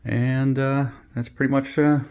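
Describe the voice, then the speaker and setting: A man giving a relaxed, joking tour of a renovated bathroom, with many fillers. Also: medium pitch, unhurried, smooth, lo-fi sound. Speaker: co-host renovating a house. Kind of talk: casual chat and house tour. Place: old house under renovation, indoors.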